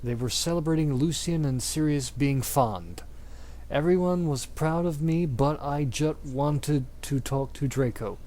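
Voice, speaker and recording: A man's voice: on the high side, measured pace, dark tone. A man giving a mock-dramatic amateur reading of fiction, with a theatrical, exaggerated delivery. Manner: theatrical, exaggerated delivery, often breaking into giggles. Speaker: amateur reader of fan fiction.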